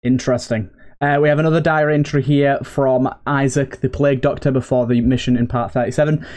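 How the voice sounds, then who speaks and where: A man's voice: medium pitch, fairly even intonation, a little fast, a little mellow. A man hosting a video game playthrough with casual, conversational commentary. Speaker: gaming host. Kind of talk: casual let's play commentary.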